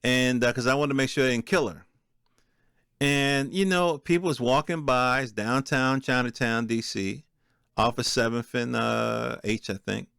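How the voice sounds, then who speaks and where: A man's voice: medium pitch, measured pace, vocal fry, a bit bright, clear, resonant tone, dry recording, studio quality. A man hosting a podcast solo, talking off the cuff in a streetwise, colloquial way. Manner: streetwise, colloquial, peppered with profanity. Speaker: podcast host. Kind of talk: casual, unscripted solo monologue.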